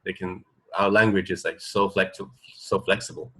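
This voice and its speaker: Male co-host, middle-aged, lower voice, loose conversational delivery that feels its way through each thought. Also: flat delivery, fairly bright tone, slightly rough. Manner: tentative, halting, thinking aloud. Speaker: radio show co-host. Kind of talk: casual chat.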